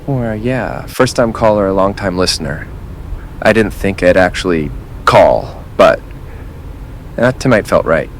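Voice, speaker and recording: Casting: male actor as earnest conspiracy caller, very low voice, faint noise. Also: animated, measured pace. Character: conspiracy-minded first-time man caller.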